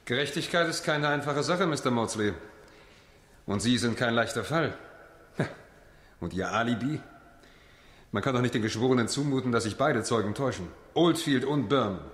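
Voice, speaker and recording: A man's voice: medium pitch, moderate pace, long pauses, a bit bright, lightly acted. An actor playing a worldly male defence lawyer in a radio drama, with a dry, sardonic way of talking. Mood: wry. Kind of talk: radio drama dialogue and narration.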